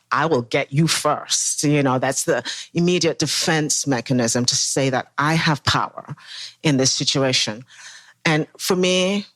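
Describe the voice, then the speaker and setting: A man's voice: mid-range voice, a bit bright, dry recording. Man telling a story, dry close sound. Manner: measured storytelling. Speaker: former assistant pastor, panel guest. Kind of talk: personal story in a podcast discussion.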